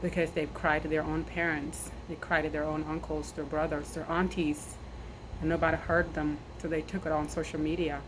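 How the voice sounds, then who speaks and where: A woman in her thirties from South Sudan, a nurse, speaking earnestly to camera outdoors in the wind, measured and repeating phrases for emphasis. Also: low voice, level tone. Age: 30s. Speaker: nurse and army veteran sharing her story. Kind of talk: personal address to camera. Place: outdoors, windy. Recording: noisy outdoor recording with wind.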